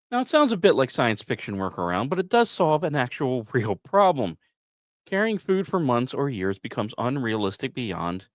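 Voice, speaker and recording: Lively male podcast host, higher-pitched voice. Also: no room sound. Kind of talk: semi-scripted science news narration with casual asides.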